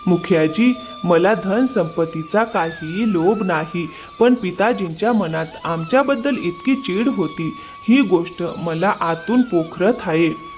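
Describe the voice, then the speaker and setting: A man's voice: high-pitched, lively intonation, a little slow, faint music behind. A man voicing an honest, dutiful younger brother from a village, earnest and plaintive, in a cartoon moral story. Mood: troubled.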